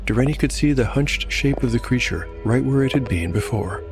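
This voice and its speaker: A man narrating from a script as host, in a measured storytelling manner. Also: medium pitch, animated, over music.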